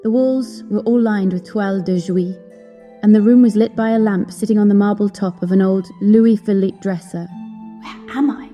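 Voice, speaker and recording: A woman playing a wistful young opera singer who recounts her story in an audio drama, in measured, earnest, literary phrasing. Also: mid-range voice, fairly even intonation, mellow, close to an everyday voice. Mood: subdued unease. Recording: long hall reverb, music behind.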